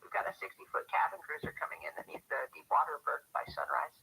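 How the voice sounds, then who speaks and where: An actor playing a harried small-town harbor office worker in a radio drama, chatty and fretful on a telephone. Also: male voice, fast, bright, forceful tone, in a whisper, low-quality recording. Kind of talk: radio drama phone call.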